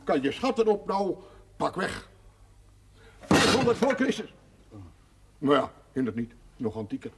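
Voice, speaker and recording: A man's voice: sits high, big pitch swings, measured pace, pauses often, exaggerated voice. An actor playing a quirky, proud old Dutch villager in his seventies, folksy and droll, in a television drama. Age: 70s. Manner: folksy, droll, self-assured. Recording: faint background noise, television soundtrack.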